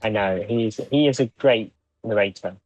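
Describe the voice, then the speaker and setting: A man's voice: on the low side, moderate pace, no echo. A man co-hosting a podcast in casual, conversational fan chat. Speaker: podcast co-host. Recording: dull, dry, band-limited microphone.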